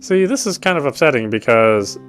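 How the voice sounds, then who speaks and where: A man's voice: mid-range voice, animated, brisk, a bit bright. A man doing casual let's play commentary and reading a game's story text aloud. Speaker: game streamer. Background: game music.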